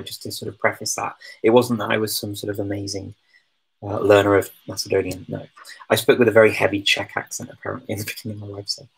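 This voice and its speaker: A man's voice: fairly low pitch, creaky, husky, low-quality recording. A man answering viewer questions in a casual live chat, talking hesitantly with many ums and restarts. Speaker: language-learning streamer answering viewer questions.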